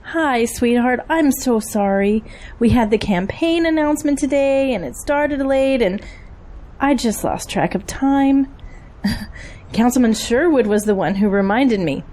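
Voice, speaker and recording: A woman's voice: on the high side, lively intonation, measured pace, a little mellow. A woman acting in an audio drama as a busy, earnest political campaign manager and single mother. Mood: apologetic.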